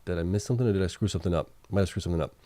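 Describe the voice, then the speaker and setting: Guy on a late night stream going through numbers out loud, kinda low mellow voice, lots of uh and wait, voice going a bit hoarse. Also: rapid-fire, dry recording. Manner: thinks aloud, many fillers and self-corrections; reads out viewer chat questions. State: starting to lose his voice. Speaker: livestream host. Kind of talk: casual livestream chat reading financial results.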